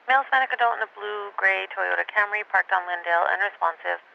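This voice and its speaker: A man's voice: sits high, fast, gravelly, bright, forceful tone. A man working as a police dispatcher, giving codes and locations in clipped procedural phrases over a police radio. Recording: muffled clicky police scanner radio.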